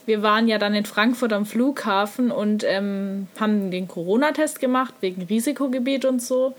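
A woman with a regional German dialect, telling stories chattily with frequent fillers. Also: mid-range voice. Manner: chatty, storytelling, many fillers. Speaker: athlete. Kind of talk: casual podcast chat.

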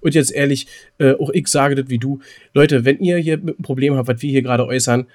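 A man chats as a podcast co-host at a very quick pace. His voice has an average male pitch. He is informal and colloquial, often doubling his phrases to stress a point. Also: steady tone, a little mellow. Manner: colloquial, repeats himself for emphasis. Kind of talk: casual podcast chat.

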